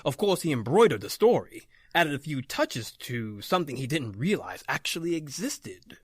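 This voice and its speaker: A man playing a grave, authoritative occult doctor in an old-time radio horror drama, speaking emphatically and deliberately. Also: a little high, sing-song, breathy edge, no room sound, studio quality. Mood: solemn, explanatory. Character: grave, authoritative male doctor battling the supernatural.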